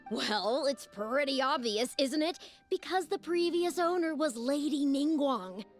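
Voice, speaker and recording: High, perky, money-hungry young-sounding woman. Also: lively intonation, a little slow. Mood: breezy, smug. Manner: breezy, cocksure, chatty. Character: perky, naive, money-hungry female auction buyer. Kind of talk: video game character dialogue. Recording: game music behind.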